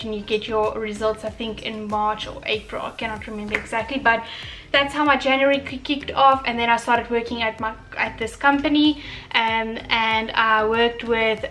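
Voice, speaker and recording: Afrikaans-accented young South African woman. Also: on the high side. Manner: relaxed and reflective, with many fillers and restarts. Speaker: vlogger. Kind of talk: casual chat.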